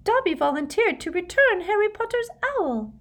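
Lady doing a squeaky little male elf servant, super high, eager and grovelling, audiobook style. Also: very animated, moderate pace, fairly bright tone, exaggerated voice. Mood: eager, adoring. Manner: deferential, speaks of himself in third person.

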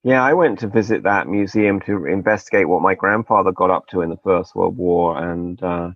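A man's voice: sits low, normal speed, no room sound. A man hosting a podcast interview, chatting casually with wry, digressive asides. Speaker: podcast host. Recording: dull lo-fi dry sound.

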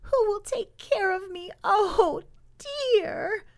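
Tiny, high-pitched weeping baby beaver girl. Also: heavily acted. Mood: crying, forlorn. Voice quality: tiny, weeny voice. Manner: plaintive, whimpering, drawn-out wailing.

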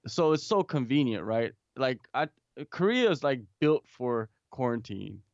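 A man's voice: medium pitch, sing-song, measured pace, no room sound, lo-fi sound. A man talking from home in a casual remote interview, rambling with many restarts and fillers. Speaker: guest, MMA interviewer and English teacher.

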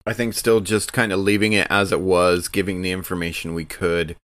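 Deep-voiced man at the game table. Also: little pitch movement, brisk, fairly bright tone, no room sound. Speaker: player on a game show podcast. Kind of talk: casual tabletop game chat.

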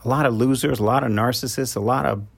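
A man's voice: mid-range voice, fast, creaky, breathy edge. A middle-aged man from New York with a New York accent, a love coach talking to his podcast listeners in a loose advice monologue, full of "uh" fillers and restarts. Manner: conversational, frequent "uh" fillers and restarts.

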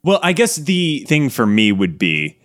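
A man, talking casually as a podcast co-host pitching ideas. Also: huge pitch swings, measured pace, fairly bright tone, no echo, clean studio sound. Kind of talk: casual brainstorming chat.

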